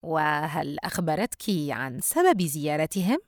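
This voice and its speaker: A woman's voice: lowish voice, quick pace, dry recording, natural voice. A sly, indifferent teenage brother, acted by a female narrator in an audiobook story. He speaks with cold sarcasm and a taunting, careless edge. The recording is dry. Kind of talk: dramatised audiobook dialogue.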